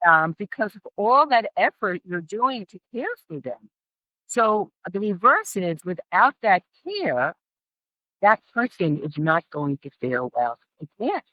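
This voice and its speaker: A woman's voice: mid-range voice, sing-song, unhurried, no echo, dull audio. A middle-aged woman speaking as an interview guest and care specialist, explaining and advising emphatically and repeating phrases for stress.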